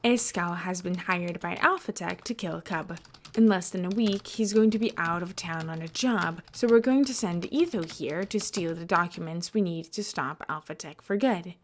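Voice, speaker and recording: A woman reading the part of a smooth, nonchalant man who leads a team in an audio story. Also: lowish voice, moderate pace, slight background noise, close to an everyday voice. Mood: businesslike. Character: smooth, nonchalant male leader, welcoming and persuasive.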